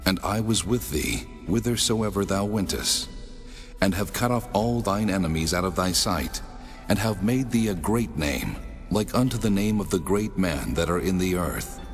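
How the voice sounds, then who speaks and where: A man voicing the Lord God in a dramatized scripture reading, solemn, measured and authoritative. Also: low voice, expressive. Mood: solemn, commanding. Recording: long cavernous reverb, music underneath. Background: music.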